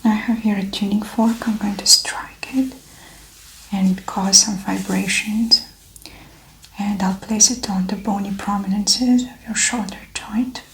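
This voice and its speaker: A woman whispering gently and breathily as an examiner in an ASMR medical exam roleplay, giving unhurried instructions. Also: mid-range voice, steady tone. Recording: roomy echo, faint background noise.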